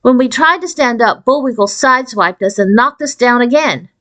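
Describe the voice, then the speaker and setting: A woman reading a children's novel aloud in an expressive storytelling style. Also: fairly high pitch, normal speed. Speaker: audiobook reader.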